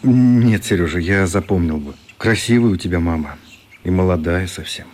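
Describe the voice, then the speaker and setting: An actor playing a good-natured journalist in a radio play, conversational, wry and mock-polite. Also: male voice, low voice, normal speed, darkish tone, close to an everyday voice. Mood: gentle.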